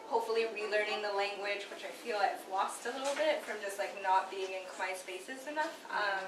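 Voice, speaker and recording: A woman's voice: medium pitch, brisk, distant mic, background noise. Giggly young woman, echoey room. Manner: chatty, giggly, lots of "like". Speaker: medical student. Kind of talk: casual self-introduction.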